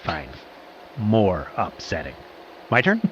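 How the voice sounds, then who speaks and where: A man's voice: on the low side, huge pitch swings, slow pace, a little hiss or hum, exaggerated voice. Muffled, wildly swooping mischievous man. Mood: dry teasing. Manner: playful, theatrical, mischievous. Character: gleeful male teller of nasty tales, teasing. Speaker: storyteller in a framing dialogue. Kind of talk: conversational audio drama acting.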